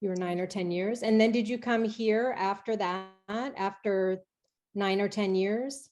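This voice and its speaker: A woman in her forties, an executive chef hosting an interview on a video call from her home kitchen, welcoming her guests eagerly and pausing on fillers as she asks questions. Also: medium pitch, darkish tone. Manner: enthusiastic, with hesitant fillers when asking questions.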